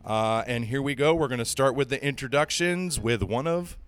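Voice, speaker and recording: A man hosting a podcast, giving an upbeat, energetic introduction. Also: medium pitch, normal speed, faint music behind. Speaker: podcast host.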